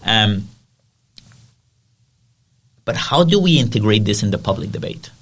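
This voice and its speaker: Man, French accent, gravelly, fairly low voice, podcast host, earnest. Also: lively intonation, a little slow, fairly bright tone. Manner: earnest, asks guests by name. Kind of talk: casual discussion.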